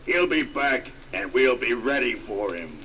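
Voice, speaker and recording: An actor playing a menacing, scheming super-villain brute who speaks in a deliberate, gloating way in an audio drama. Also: male voice, mid-range voice, expressive, slight background noise. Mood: confident, plotting. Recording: loud dramatic music behind.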